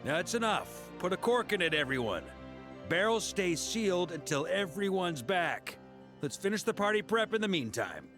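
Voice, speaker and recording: An actor playing a wry, jovial, authoritative middle-aged knight leader in a video game. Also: male voice, sits high, very animated, normal speed, fairly bright tone, soft background music. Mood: commanding.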